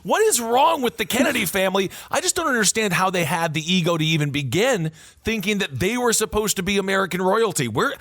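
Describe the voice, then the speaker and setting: A podcast co-host reacting with casual, jokey banter. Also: male voice, high voice, animated, fairly quick, fairly bright tone.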